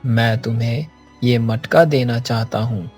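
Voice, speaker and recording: A man's voice: medium pitch, steady tone, a little slow, darkish tone, faint music behind, lightly acted. A man voicing a kindly, mystical wandering sadhu in a moral-tale cartoon, speaking in a solemn, pleading way. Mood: grateful, solemn.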